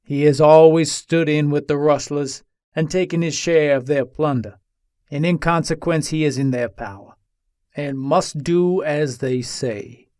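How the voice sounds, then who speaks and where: Male actor as jailed Old West gunslinger, slightly high male voice, formal old-fashioned storytelling, deliberate, dramatic reading, dry sound. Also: dry recording, lightly acted. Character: embattled Old West gunslinger pleading his case from jail.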